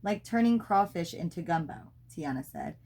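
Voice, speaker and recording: A woman's voice: fairly low pitch, expressive, moderate pace, darkish tone. A woman reading a children's storybook aloud expressively, as a mother does with her child.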